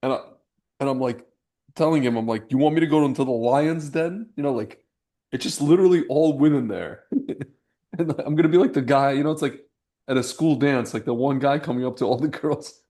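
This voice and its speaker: A man's voice: medium pitch, brisk, mellow. A young man hosting a podcast, talking in a loose, rambling way with many restarts. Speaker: podcast host. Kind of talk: casual chat.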